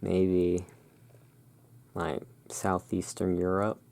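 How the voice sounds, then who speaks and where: A low-pitched, gravelly ASMR voice that moves very slowly through its descriptions. The delivery stays level and hushed, as a roleplaying shopkeeper muses over artwork. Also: completely flat delivery. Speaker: host of a roleplay as a curiosity emporium owner. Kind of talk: ASMR roleplay, describing tarot card art.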